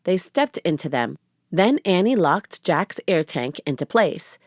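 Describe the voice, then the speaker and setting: Slow, expressive woman reading a children's book. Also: lowish voice, no echo, clean studio sound. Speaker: storybook reader. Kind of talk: children's book read-aloud, scripted narration.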